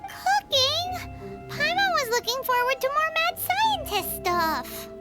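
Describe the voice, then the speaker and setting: A very high, wildly swooping little fairy chatters bluntly about herself in the third person. She is a cheeky game sidekick with a squeaky, sing-song delivery. Game music plays behind her. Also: very animated, takes it slowly, exaggerated voice. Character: tiny chirpy fairy companion girl. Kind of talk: video game voice acting.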